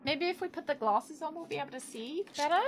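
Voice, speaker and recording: A woman doing casual live game commentary, excitable and playful, reacting aloud and swearing freely. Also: sits high, fast, slight background noise. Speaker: game streamer. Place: indoor room, live gaming stream.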